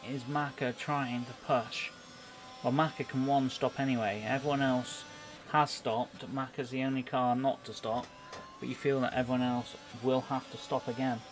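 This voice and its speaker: Even-toned man commentating on sim racing. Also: medium pitch, normal speed, slight background noise. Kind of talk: live play-by-play commentary of a racing game.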